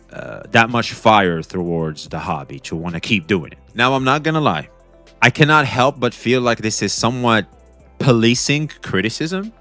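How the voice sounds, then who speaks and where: A young man hosting a casual reaction stream, talking back to a video with excited, repetitive commentary. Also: lowish voice. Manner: excitable, talks back to the video, repeats himself.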